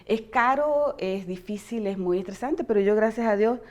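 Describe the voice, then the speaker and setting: A woman's voice: mid-range voice, moderate pace, a little mellow. Rambling Argentine woman doctor, filler-heavy. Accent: Argentine, non-Buenos Aires. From Argentina, near Chile. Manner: rambling, many fillers and restarts. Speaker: guest physician. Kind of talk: casual interview chat.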